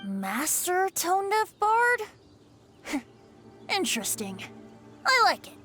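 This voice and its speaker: A woman's voice: very high-pitched, sing-song, takes it slowly, full character voice. A woman voicing a breezy, mischievous boyish male bard in an anime video game, with a playful manner. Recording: faint music behind the voice.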